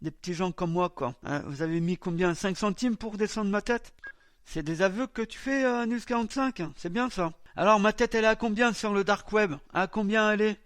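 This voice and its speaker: A man with a high, raised voice hosts a homemade web radio show. He mocks and dares the other side, filling his talk with hesitant "euh"s. The sound is dry and close, with almost no room. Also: brisk, a bit bright, no echo. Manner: mocking, defiant, full of hesitations. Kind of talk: commenting on recorded CB radio chatter.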